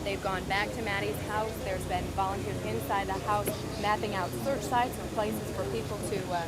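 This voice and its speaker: An American woman giving a halting, ad-libbed live news report from the field. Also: mid-range voice, steady tone. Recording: live field audio with crowd noise.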